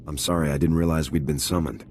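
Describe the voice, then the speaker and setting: Casting: male military squad leader, sci-fi game. Low voice, steady, courteous, soldierly. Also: slight background noise. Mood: respectful. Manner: measured, respectful soldierly delivery. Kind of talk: video game cutscene voice acting.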